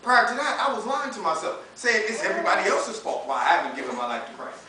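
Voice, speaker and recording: A man's voice: high-pitched, very animated, fast talker, bright, forceful tone. A man in his thirties with an American accent preaching in an emphatic, colloquial style, full of rhetorical questions and acted-out dialogue. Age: 30s. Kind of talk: animated, conversational sermon. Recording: echoey room, distant mic, faint noise.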